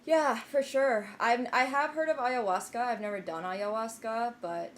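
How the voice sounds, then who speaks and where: A woman's voice: on the high side, normal speed, slight background noise. Rambling young woman streamer. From Finland. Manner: rambling, many fillers and restarts, self-deprecating. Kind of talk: casual chat to live stream viewers.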